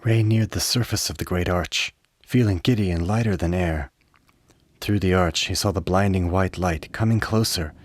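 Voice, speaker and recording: A man narrating a scripted radio play in a measured, literary storytelling manner. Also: deep voice, slightly rough.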